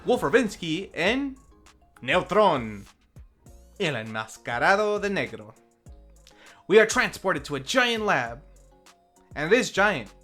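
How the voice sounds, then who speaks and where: A review host narrating from a script, with theatrical flourishes and wry deadpan asides. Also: mid-range voice. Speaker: host of a film review series.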